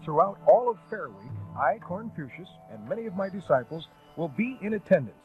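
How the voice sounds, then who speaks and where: High, theatrical mock-wise sage. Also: male voice, very animated, brisk, faint music behind. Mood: self-important. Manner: self-important, theatrical. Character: mock-wise comic male sage with disciples. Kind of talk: comic radio promo character. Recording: dull old radio promo recording.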